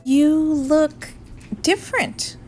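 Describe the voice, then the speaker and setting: A woman voicing an anxious, excitable young schoolboy from a storybook, exclaiming in a flustered, dramatic way. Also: high-pitched, very slow, a little hiss or hum, pitched above the performer's own voice. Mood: puzzled.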